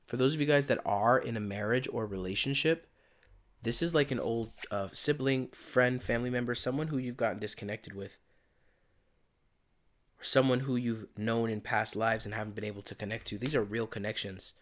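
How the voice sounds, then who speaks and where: A man giving a casual spiritual tarot reading in a soft, meandering, reflective way, his voice tired and giving out. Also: medium pitch, moderate pace. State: voice giving out, tired by day's end. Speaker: tarot reader and channeler.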